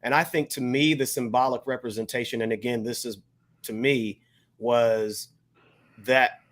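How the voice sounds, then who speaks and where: A man's voice: mid-range voice, fairly even intonation, normal speed, fairly bright tone. A man co-hosting a hip-hop show, chatting casually in informal slang and searching for words as he goes. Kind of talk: casual music discussion.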